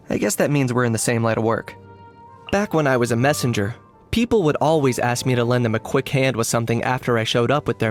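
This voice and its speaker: An actor playing an aloof young male hunter in a video game, with a measured, deadpan delivery full of dry understatement. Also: medium pitch, close to an everyday voice. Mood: dry, pragmatic. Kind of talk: scripted video game character voice lines. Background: background music.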